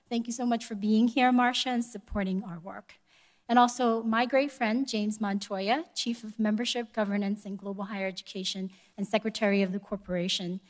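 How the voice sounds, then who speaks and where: A woman delivering a prepared speech at a conference in a measured, polite and formal manner. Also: mid-range voice. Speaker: conference speaker, education organization executive. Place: live event room before an audience.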